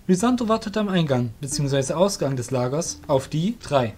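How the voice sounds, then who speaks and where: A man's voice: on the high side, expressive, moderate pace, a little mellow. A man narrating a fantasy audio drama in a scripted storytelling style.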